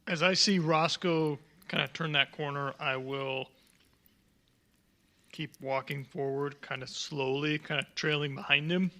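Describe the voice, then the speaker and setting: A man's voice: a little high, steady tone, a little slow, sharp tone. A man playing a tabletop game, casually describing his actions in a hesitant, tentative way. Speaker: player.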